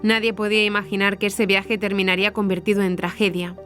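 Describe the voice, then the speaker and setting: A woman from narrates the news from a script. Her pitch is average for a woman, and there is faint music behind her. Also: flat delivery, fast, a bit bright. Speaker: news presenter. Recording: music under the voice.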